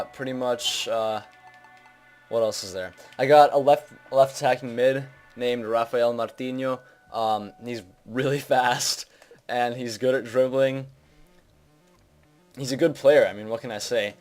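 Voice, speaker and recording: A man's voice: medium pitch, a little mellow. A young man giving casual, unscripted video game commentary in an informal, hesitant and self-deprecating way. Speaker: gaming video host.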